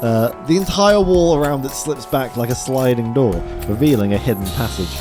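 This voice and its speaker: A man's voice: mid-range voice, moderate pace. A man streaming a visual novel, reading it aloud theatrically and adding casual commentary. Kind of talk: live narration with casual commentary. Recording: game music behind the voice. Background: video game music.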